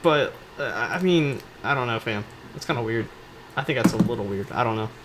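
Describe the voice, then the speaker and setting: A man's voice: medium pitch, animated, a little fast, heavy background noise. A man doing casual, rambling commentary on game cutscenes in a joking, self-deprecating, informal way. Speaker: YouTube video host. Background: music.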